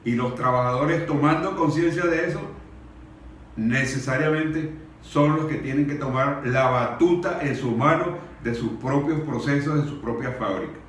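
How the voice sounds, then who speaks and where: A Venezuelan man giving an earnest, deliberate and emphatic public speech in Spanish, pausing between short phrases. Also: mid-range voice. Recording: very echoey room, distant mic, faint noise.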